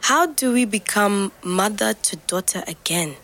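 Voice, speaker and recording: A woman playing a reflective young migrant, speaking measured, introspective fragments as a scripted audio diary. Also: medium pitch, lively intonation, a bit bright, slight background noise. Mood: searching, melancholic. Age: young adult. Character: reflective young African migrant woman recording private night-time notes.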